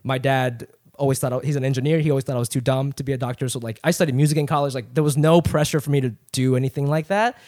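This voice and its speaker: A man's voice: mid-range voice, steady tone, very fast, a little mellow. A man from Japan who grew up in Ohio, with an American accent, chatting candidly and profanely as a comedian guest on a casual podcast. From Japan, raised in Ohio from age eight. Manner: candid, profane, rambling storyteller.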